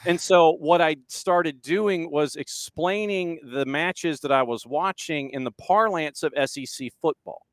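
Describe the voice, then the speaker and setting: A man's voice: on the high side, unhurried, few pauses, a bit bright, no echo. A man hosting a talk show, asking long, rambling questions in a casual way. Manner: rambling, conversational questions with many restarts. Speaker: radio show host. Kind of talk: casual interview.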